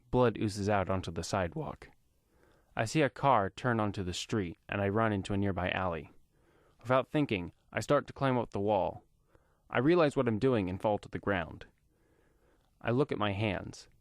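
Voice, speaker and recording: A man's voice: on the low side, moderate pace, dry recording, studio quality. A man narrating a scripted first-person action story.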